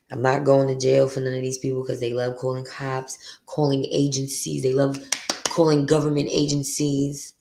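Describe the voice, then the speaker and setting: A woman with a deep voice hosting her podcast, venting bluntly and profanely in a candid, confessional monologue. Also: creaky, a little mellow. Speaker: podcast host.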